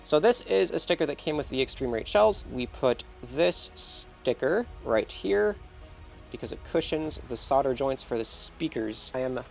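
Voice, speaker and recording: A man's voice: mid-range voice, expressive, normal speed, creaky, soft background music. Nasal-sounding man giving a casual tutorial. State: nasal from feeling under the weather. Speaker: host of a console-building tutorial channel. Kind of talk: casual hands-on tutorial commentary.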